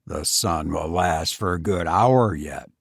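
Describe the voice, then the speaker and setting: A man voicing a bitter, envious man who speaks tersely and low, hiding his hatred, in an audiobook story. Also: takes it slowly, creaky, breathy edge, no echo, clean studio sound, full character voice. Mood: guarded.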